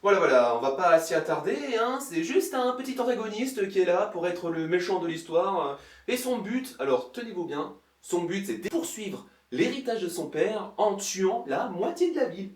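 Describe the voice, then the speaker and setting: A man's voice: high-pitched, sing-song, normal speed, hardly any pauses, echoey room, far from the mic. A man giving a sarcastic, exasperated comedic film review in colloquial language. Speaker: film reviewer, video host. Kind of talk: comedic film review commentary, recapping a plot.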